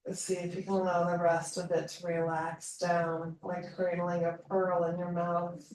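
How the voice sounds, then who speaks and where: A woman leading a slow guided meditation in a calm, soothing manner, leaving long pauses between phrases. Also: fairly low pitch. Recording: roomy echo, distant mic, faint noise.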